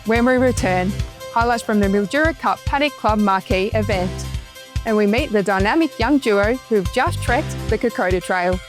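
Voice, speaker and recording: Lively Australian woman news host. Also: mid-range voice, normal speed, music in the background. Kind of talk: scripted news links.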